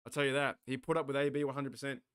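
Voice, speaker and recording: An Australian man hosting a sports watch party stream, rambling excitably, swearing and talking back to his chat. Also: medium pitch, rapid-fire, smooth. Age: 40s.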